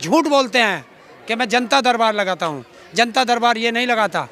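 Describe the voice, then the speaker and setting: Combative, very high-pitched rural Indian man. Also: expressive, moderate pace, sharp tone. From Uttar Pradesh, India. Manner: combative, rhetorical, sarcastic. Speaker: farmer activist being interviewed. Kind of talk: outspoken street interview. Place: outdoors in a public place. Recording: outdoors with faint crowd behind.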